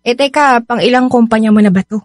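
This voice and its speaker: An actress plays a blunt young Filipina best friend in a radio drama. Her voice is high, sassy and emphatic, full of exasperated slang and protective push. It is recorded dry. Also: fast, no room sound, lightly acted. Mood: concerned, exasperated. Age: young adult. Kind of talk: radio drama acting in Taglish.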